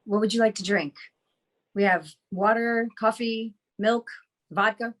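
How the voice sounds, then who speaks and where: A woman's voice: fairly high pitch, fairly even intonation, measured pace, close to an everyday voice. A woman playing a kindly, apologetic middle-aged prostitute in a screenplay table read, tentative and polite. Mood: hospitable.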